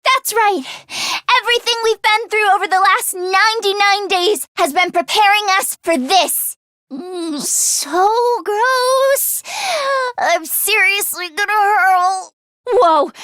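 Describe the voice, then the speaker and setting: A woman voice acting an earnest, anxious teenage schoolgirl in an English video game, with expressive, emotionally heightened delivery. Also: very high-pitched, takes it slowly, no echo, studio quality, heavily acted. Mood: queasy.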